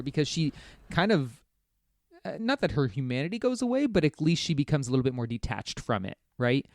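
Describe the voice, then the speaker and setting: A man's voice: mid-range voice, darkish tone, dry recording. A man co-hosting a podcast in casual discussion, talking in conversational, looping, hedged thoughts. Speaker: podcast co-host. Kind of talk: casual video game discussion.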